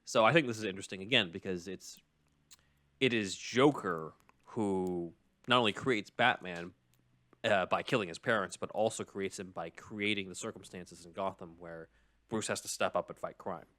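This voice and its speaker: Man, low-pitched voice, podcast host, casual explanatory chat, analytical fan enthusiasm. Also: normal speed, a bit bright.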